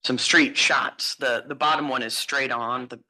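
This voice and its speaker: A man's voice: medium pitch, fairly even intonation, unhurried, sharp tone, rough recording. A man presenting a signage application to a commission, walking through slides in a casual way.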